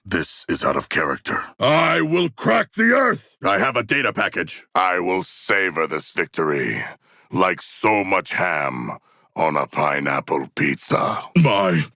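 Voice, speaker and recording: A huge humanoid shark warrior from a video game, with a low voice full of gravel. He speaks in grand, stiffly formal sentences, solemn and literal-minded yet hungry for battle. His pitch swings widely between grave statements and battle cries. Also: sing-song, unhurried, sharp tone, dry recording, clean studio sound, exaggerated voice. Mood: fierce, battle-ready. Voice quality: gravelly, raspy. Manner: formal, stilted, grandiose diction without contractions. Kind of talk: video game character voice lines.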